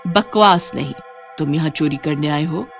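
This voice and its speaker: A woman's voice: sits low, moderate pace, gravelly, soft background music, pitched below the performer's own voice. A woman voicing a cunning, menacing witch from a horror story, taunting and commanding. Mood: stern. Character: menacing, cunning female witch haunting a phone booth. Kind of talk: Hindi animated horror story acting.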